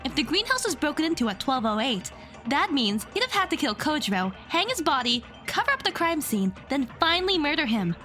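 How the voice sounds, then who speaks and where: A woman's voice: high-pitched, very animated, brisk, sharp tone, faint music behind, heavily acted. A woman playing an earnest, determined young woman acting as defender in an anime-style audio drama. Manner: earnest, insistent.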